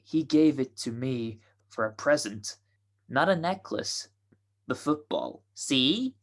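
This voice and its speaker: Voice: medium pitch, lively intonation, slow pace. A performer playing a naive, muddled young would-be femme fatale in a radio detective drama. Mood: earnest, muddled. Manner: hesitant, muddled.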